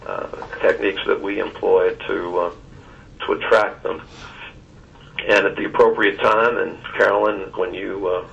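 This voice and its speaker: Very deep-voiced man on a distant phone line. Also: vocal fry. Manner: halting, deliberate, measured, with long hesitations. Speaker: search consultant reporting to a committee. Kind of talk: formal spoken briefing on a conference call. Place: telephone conference call.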